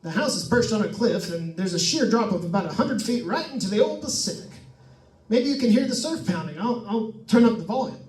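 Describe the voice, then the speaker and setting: A man's voice: sits high, lively intonation, a little fast, a little mellow. A man playing a chatty, nervous radio ghost hunter in old-time radio drama style, with stammering announcer patter. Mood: nervous showmanship. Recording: roomy, distant-miked stage recording.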